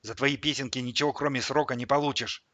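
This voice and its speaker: Man voicing bossy, bright-edged bald gangster, Sidor. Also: medium pitch, fairly even intonation, fast, no echo. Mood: coaxing, threatening. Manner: barking, hissing threats, coaxing sweet talk.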